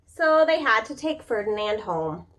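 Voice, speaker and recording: A woman's voice: fairly high pitch, big pitch swings, unhurried, fairly bright tone, low-quality recording. A woman reading a children's picture book aloud like a preschool teacher, expressively, with casual, chatty asides and questions to young listeners. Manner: informal, chatty explanations.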